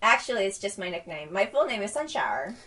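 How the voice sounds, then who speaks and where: A woman's voice: medium pitch, very animated, fast talker, a bit bright, far from the mic. A woman playing an eager, friendly young pony assistant, earnest and apologetic, in a radio play. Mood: polite, uncertain. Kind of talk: amateur radio play acting.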